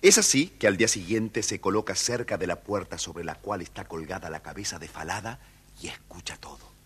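A man's voice: sits low, expressive, brisk, a bit bright, slight background noise. A man reading a scripted children's story as its narrator.

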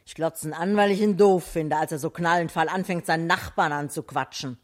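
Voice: medium pitch, a little fast, lightly acted. A performer playing a cheeky, disdainful city storyteller in a 1960s radio play, colloquial and mocking. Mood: cheeky, scornful.

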